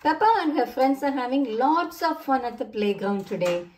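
High-voiced woman reading a children's storybook. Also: normal speed, recorded from a distance. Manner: sing-song, expressive, addresses children directly. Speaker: children's story reader, channel host. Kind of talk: storybook read-aloud for children.

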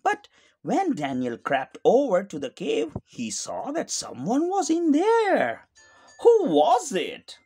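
Female children's read-aloud narrator, slow, very animated, engaging the child directly. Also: a little high, husky. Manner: expressive, asks the listening child questions. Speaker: storybook reader for children.

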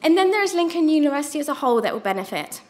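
A woman's voice: sits high, big pitch swings, rapid-fire. A young woman student, not from New Zealand, giving an earnest prepared speech from notes in a university lecture theatre into a stand microphone, with a few small restarts. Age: young adult. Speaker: student presenter.

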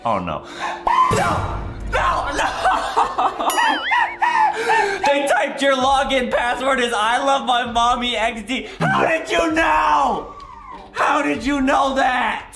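Man in his twenties, excitable kids' gaming host, theatrical, high-pitched, swooping delivery, shouty. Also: slow, gravelly. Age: 20s. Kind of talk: exaggerated kids' gaming commentary. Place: small room at home, indoors. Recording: roomy echo with loud background music. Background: music.

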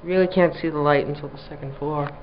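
A man's voice: a little high, fairly even intonation, fast. Man muttering exasperated commentary in an elevator. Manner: dry exasperated running commentary. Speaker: elevator enthusiast filming a ride. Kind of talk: casual handheld narration. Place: small elevator car, indoors. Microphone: handheld camera mic. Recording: dull lo-fi camera audio, faint hum.

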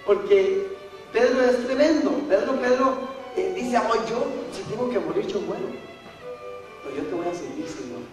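Animated young preacher, Spanish accent. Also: male voice, normal speed, over music. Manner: animated, switches between English and Spanish, rising pitch when excited. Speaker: pastor. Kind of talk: bilingual sermon. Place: large church, at a pulpit. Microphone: gooseneck pulpit mic, far camera. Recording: echoey church from far off.

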